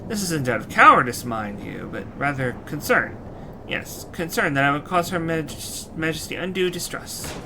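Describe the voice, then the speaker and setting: A man's voice: a little high, animated, normal speed, background noise. A man voicing an earnest, courtly knight from a fantasy game, with formal, old-fashioned phrasing. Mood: earnest, hesitant. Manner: formal, archaic, theatrical phrasing. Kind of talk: fantasy game dialogue read aloud. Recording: music behind the voice.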